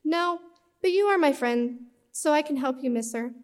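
Woman reading as cheery gentle storybook guy, high voice, encouraging, echoey hall reverb. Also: moderate pace, natural voice. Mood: caring. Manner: inviting, encouraging. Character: cheerful, gentle male storybook friend, carefree and caring.

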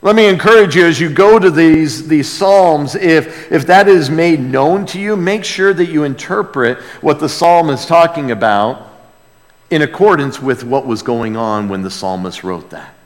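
A man with a fairly high voice preaches in a big hall with long reverb. His delivery is reverent and emphatic, swinging between loud proclamation and quieter, weighty phrases as he teaches from scripture. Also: a little slow. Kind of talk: expository sermon with dramatic scripture reading.

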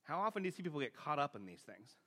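A man's voice: on the high side, very animated, very fast, bright, forceful tone. Conversational male preacher. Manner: plain-spoken, often checks in with rhetorical questions. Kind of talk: conversational teaching sermon.

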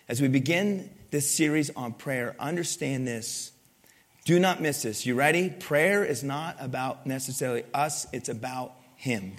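A male pastor preaching a sermon to his congregation, asking it questions and swinging between loud emphasis and soft, low lines. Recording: long hall reverb.

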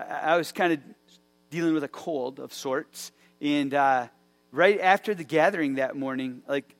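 A man's voice: a little high, fairly bright tone. A man preaching a conversational sermon in a church, telling a scripture story with many fillers and restarts. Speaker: pastor.

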